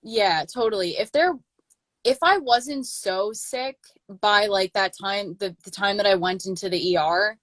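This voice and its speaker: A woman's voice: mid-range voice, unhurried, a bit bright, dry recording. A woman in her late twenties hosting a casual livestream chat, talking loosely with many fillers and restarts. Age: 20s. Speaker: channel host.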